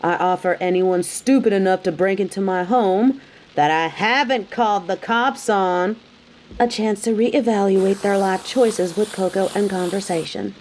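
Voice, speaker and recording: A woman's voice: medium pitch, slight background noise, lightly acted, poor audio. A woman playing a tough, sassy bounty hunter in an audio roleplay, speaking straight to the listener with dry, taunting, scolding bluntness. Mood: dry, sardonic. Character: tough, sardonic female bounty hunter, grudgingly hospitable, scolding. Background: rain.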